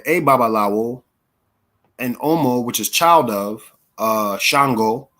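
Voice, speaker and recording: A man in his thirties from Philadelphia, telling his life story in a relaxed, chatty way as an interview guest. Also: mid-range voice. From Philadelphia, USA. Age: 30s. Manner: relaxed, chatty, with fillers and laughs. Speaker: interview guest, actor and performer.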